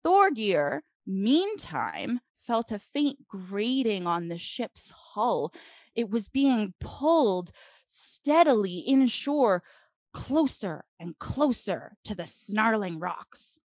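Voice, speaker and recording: A woman reading a children's storybook aloud dramatically, with deliberate phrasing and expressive pauses. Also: mid-range voice, dry recording.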